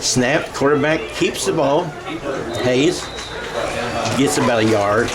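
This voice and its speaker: An American man giving live radio-style play-by-play of a football game, halting and correcting himself as he goes. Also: mid-range voice, over a noisy crowd. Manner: halting, self-correcting as he calls plays. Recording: clear background noise of a ballgame.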